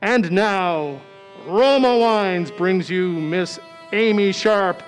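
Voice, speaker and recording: A man announcing in old-time radio style, formal and measured, with a salesmanlike delivery. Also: high voice. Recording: reverberant hall, faint music behind.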